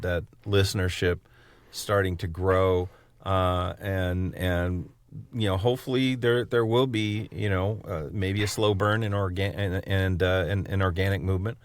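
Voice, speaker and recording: A man co-hosting a podcast, asking questions in a casual, wordy and halting way. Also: sits low, no echo. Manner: halting, wordy, circling phrasing. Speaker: podcast co-host, interviewer. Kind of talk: casual interview chat.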